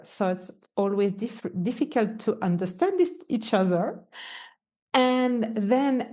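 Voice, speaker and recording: A woman's voice: a little high, mellow. A woman with a Swiss French accent, a native French speaker, talking as a podcast guest in a hesitant way, restarting often. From Switzerland. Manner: hesitant, frequent restarts, non-native phrasing. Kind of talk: casual interview conversation.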